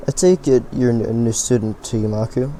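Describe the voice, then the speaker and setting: A man voicing a calm, formal young woman in a visual novel, speaking in a measured, polite way. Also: medium pitch, slight background noise, natural voice. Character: poised, softly formal young blind woman.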